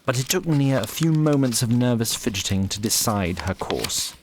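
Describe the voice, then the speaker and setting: Measured male fantasy narrator, mid-pitched. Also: lively intonation, noisy background. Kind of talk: scripted fantasy story narration.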